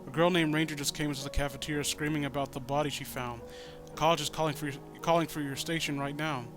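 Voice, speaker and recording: A man's voice: on the high side, fairly even intonation, fast, over music, lightly acted. Man reading as an earnest, worried college student. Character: anxious young male college student reporting a murder.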